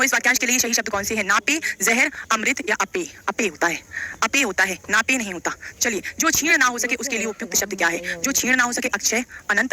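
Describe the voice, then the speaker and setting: Bright, high-pitched, fast-talking woman teacher. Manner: instructive, repeats phrases, addresses students affectionately with questions. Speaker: Hindi grammar teacher for exam coaching. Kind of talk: live online lesson, explaining quiz questions.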